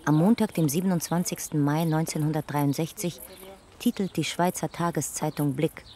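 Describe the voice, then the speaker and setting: A woman reading scripted narration in a measured, documentary manner. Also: low-pitched, steady tone, warm tone, lightly acted.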